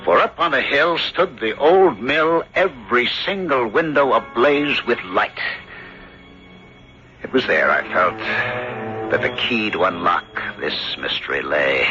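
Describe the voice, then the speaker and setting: A man playing a sober, sceptical country doctor who narrates a ghost mystery in measured, earnest radio drama style. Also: medium pitch, lively intonation, natural voice. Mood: grave, brooding. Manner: measured, earnest, theatrical storytelling. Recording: dull vintage radio broadcast, music underneath.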